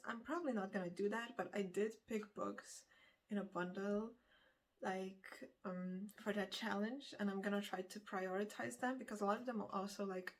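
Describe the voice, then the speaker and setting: Woman from lithuania, lithuanian native speaker, just chatting to camera like a book vlogger, kinda rambly and unsure, corrects herself, medium pitch. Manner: hesitant, rambling, self-correcting. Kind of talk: casual unscripted chat to camera.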